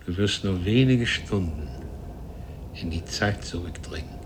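A sly scholarly tempter from a radio play, low-voiced and slow. He coaxes with oily, insinuating courtesy, drawing out his words over faint background noise. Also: animated. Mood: coaxing, sly. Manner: insinuating, coaxing, drawn-out. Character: sly, ingratiating male time-travelling scholar, a tempter.

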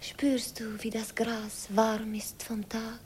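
A woman's voice: on the high side, monotone, slow pace, a little mellow. An actress playing a tender, impulsive young village girl in a radio play. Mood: tender, intimate. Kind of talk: old radio play acting. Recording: slightly noisy old radio recording.

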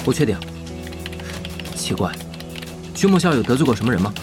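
Brisk, businesslike male guild gamer, acted. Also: medium pitch, sing-song, natural voice. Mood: puzzled, businesslike. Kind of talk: Chinese radio drama acting. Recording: background music under the voice.

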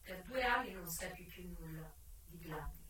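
An actress playing a proud, worldly American brothel madam, delivering a dramatic, emphatic theatre monologue with deliberate pauses. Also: female voice, lowish voice, expressive, heavy background noise, lightly acted. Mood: grim. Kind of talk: theatrical first-person monologue. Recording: echoey stage sound, distant mic, music behind.

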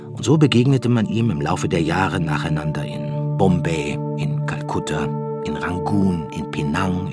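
A man narrating a literary radio drama in a measured storytelling manner, reading from a script. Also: low-pitched. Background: music behind the voice.

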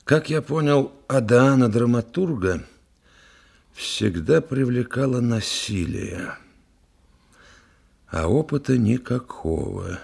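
An actor playing a thoughtful investigator in a radio play, measured and reflective, with a gravelly, husky voice. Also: male voice, medium pitch, animated, a little mellow, exaggerated voice. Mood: pondering. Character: thoughtful investigator pondering a theatre murder. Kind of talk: dramatic radio play dialogue.

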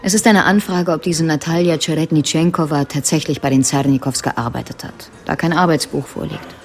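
A woman's voice: low voice, steady tone, fairly quick, soft background music. An actress playing a resolute, sardonic woman in a radio crime drama, speaking in a matter-of-fact, pointed way. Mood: businesslike. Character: sardonic, resolute woman, lawyer's former lover.

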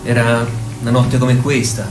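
A solemn, loyal male companion, played by an actor in a radio play. His mid-range voice echoes in the space over clear music. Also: medium pitch, completely flat delivery, normal speed, natural voice. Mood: wistful. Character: solemn, loyal boyhood friend. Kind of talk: theatrical radio drama acting. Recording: echoey stage, music behind.

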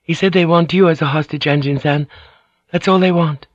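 A man's voice: fairly high pitch, fairly even intonation, brisk, no echo, highs cut off, pitched above the performer's own voice, natural voice. A man voicing a desperate young noblewoman in an audiobook, pleading frantically in a raised, strained voice. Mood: resigned. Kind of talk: audiobook character voice.

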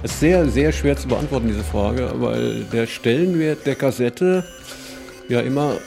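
A middle-aged man giving thoughtful interview answers, deliberately searching for his words. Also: mid-range voice, vocal fry, dark tone, faint music behind. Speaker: interviewee, cassette culture radio host and musician.

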